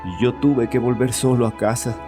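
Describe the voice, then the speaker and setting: An actor playing a troubled, chauvinist bereaved father confessing in an audio horror drama, with a haunted, halting manner. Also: medium pitch, fairly even intonation, loud music behind the voice, lightly acted. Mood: haunted, grieving. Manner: haunted, halting, repeats himself.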